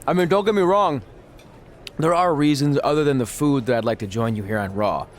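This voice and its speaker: A man's voice: mid-range voice, a little fast, close to an everyday voice. A man acting a goofy, eager young wrestler in a video game cutscene, chatting with boyish earnestness. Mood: casual, upbeat. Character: eager goofy young male pro wrestler, wisecracking and naive.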